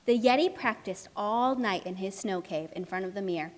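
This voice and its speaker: A woman's voice: mid-range voice, moderate pace, natural voice. A woman playing a chatty, anxious storybook narrator, speaking expressively and emphatically in a children's puppet show. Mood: storytelling.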